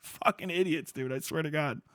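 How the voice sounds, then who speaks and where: A man's voice: on the high side, moderate pace, fairly bright tone, no echo. A man hosting a livestream, ranting casually and reading chat with profane sarcasm, often acting out mock exchanges. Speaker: livestream host.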